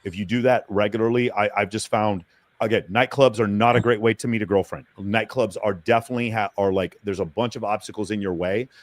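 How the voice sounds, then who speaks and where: A man with a low voice speaks with boastful, profane energy. The recording is dry and close, with no room echo. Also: steady tone, a little fast. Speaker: dating coach on a panel. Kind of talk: casual panel chat.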